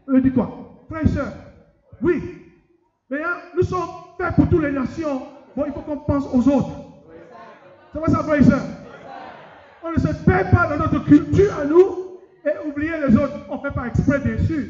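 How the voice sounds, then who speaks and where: A middle-aged man preaching a lively sermon, talking straight to the congregation in a conference hall. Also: very high voice, unhurried, long pauses, a faint crowd. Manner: lively, addresses the congregation directly. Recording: echoey hall, dull sound.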